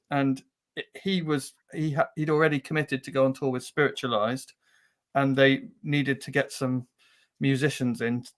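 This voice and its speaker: A male musician answers in a casual interview and tells his story in a relaxed, easygoing way. His voice sits at a middling pitch. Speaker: guest musician.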